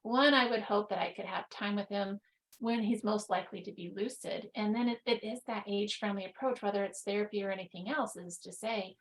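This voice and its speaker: A woman specialist giving measured, thoughtful advice in a teleconference case discussion over a video call. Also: mid-range voice, low-quality recording.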